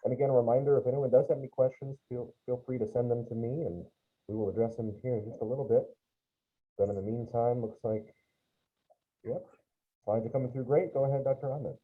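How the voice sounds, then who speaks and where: A man moderates the session in a brisk, upbeat way. His voice is husky and comes through a tinny, phone-like line from a video call. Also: mid-range voice, fairly even intonation.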